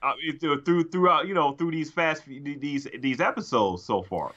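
A man's voice: a little high, normal speed, bright, forceful tone. A middle-aged man co-hosting a podcast in casual chat, hesitant and full of restarts, on a studio mic over a video call from home. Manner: hesitant, many restarts and fillers. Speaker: podcast co-host. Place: home room, indoors. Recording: dull lo-fi call audio.

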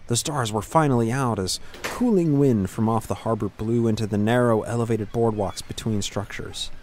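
A man narrating scripted fantasy fiction in a measured, dramatic storytelling manner with deliberate pauses. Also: lowish voice, a little mellow, a little hiss or hum.

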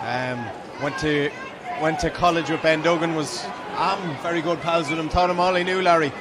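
Irish male match commentator over loud crowd noise. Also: a little high, fairly even intonation, moderate pace. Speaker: play-by-play sports commentator. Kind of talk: live Gaelic football match commentary. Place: outdoor stadium stand. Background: loud crowd and ground noise.

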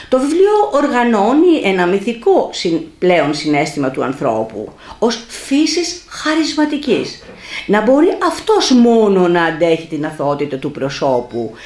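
A woman's voice: sits low, darkish tone. A middle-aged woman, a university professor, reading a prepared critical talk at a book launch into a table mic in a small cafe room, with loose spoken asides. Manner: measured academic reading, slips into loose asides. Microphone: table mic on a stand. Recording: echoey room.

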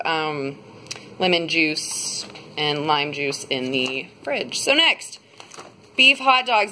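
A young woman talks into a phone camera held at arm's length, rambling along with plenty of fillers. Her voice is bright and clear, and a faint hum sits under the recording. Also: medium pitch. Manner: chatty, rambling, lots of fillers. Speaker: vlogger. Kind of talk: casual grocery haul vlog. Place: parked car, then a home kitchen.

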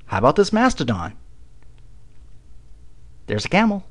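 Sarcastic man narrating, lively pitch. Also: measured pace. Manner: mocking, deadpan sarcasm. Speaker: video narrator. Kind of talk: sarcastic scripted voice-over.

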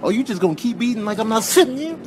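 A man's voice: high-pitched, big pitch swings, very fast. A man voicing a defiant, indignant talking cat in a comedic voice-over, scolding in slang. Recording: noisy social video clip audio.

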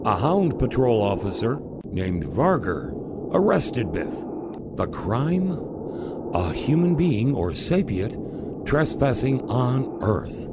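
Male announcer for retro radio serial, dramatic and animated, unhurried, noisy background. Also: medium pitch. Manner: dramatic, theatrical. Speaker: announcer and narrator. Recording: clear background noise under voice.

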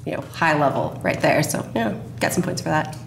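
A woman's voice: deep voice, animated, brisk, vocal fry. A woman talking casually as a producer guest on a podcast. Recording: roomy echo, noticeable background noise.

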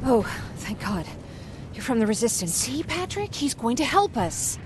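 An actress playing an anxious, protective young survivor in a video game, speaking with pleading urgency. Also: female voice, on the high side, sing-song, normal speed. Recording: noisy game ambience behind.

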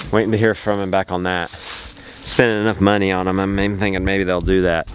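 A man in his thirties narrating a casual homestead vlog to a handheld camera, upbeat and talking while he works outdoors. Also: on the low side. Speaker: homestead vlogger. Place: outdoors in woods and farm barn. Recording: outdoor noise behind the voice.

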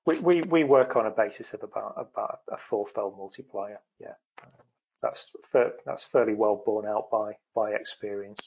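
Gravelly, husky low-voiced man. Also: normal speed, a little mellow. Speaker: panelist. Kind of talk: unscripted panel discussion. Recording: dull, lo-fi online call audio.

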